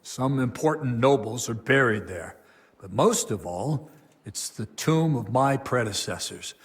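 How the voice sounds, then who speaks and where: An actor playing a stern, burdened abbot of a medieval monastery, grave and formal, in a dramatic game cutscene. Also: male voice, mid-range voice, lively intonation, unhurried, natural voice. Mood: grave explaining.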